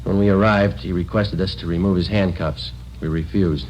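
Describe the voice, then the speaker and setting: An actor playing a stoic, no-nonsense police sergeant in a deadpan, formal manner in a 1950s radio drama. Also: male voice, deep voice, moderate pace, natural voice. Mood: flat, formal. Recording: muffled, noisy old radio recording.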